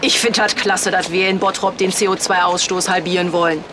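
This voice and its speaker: An actress playing a cheerful, naive eco-enthusiast in a street interview within a radio play. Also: female voice, fairly low pitch, fairly even intonation, fairly quick, lightly acted. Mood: keen, pleased. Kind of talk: vox pop in a radio play. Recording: outdoor street interview with loud background.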